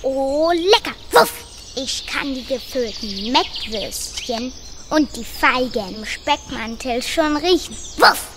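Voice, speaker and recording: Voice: sits high, lively intonation, slow pace, in a large hall, background noise, exaggerated voice. A young performer voicing a hungry, barking dog in a children's radio play. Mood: greedy. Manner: barks between lines. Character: hungry dog, a town musician.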